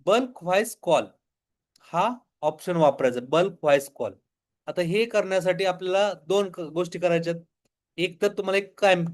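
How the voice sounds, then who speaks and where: High-pitched Marathi-accented man teaching step by step. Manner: explanatory, poses questions then answers them. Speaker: online business tutorial instructor. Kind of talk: step-by-step screen demo tutorial.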